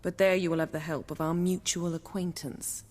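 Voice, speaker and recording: An actress playing a composed, assured sorceress in a video game, speaking with a slightly wry edge. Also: female voice, fairly low pitch, level tone, moderate pace, a little mellow, in a large hall, lightly acted. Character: poised, commanding sorceress, adult woman.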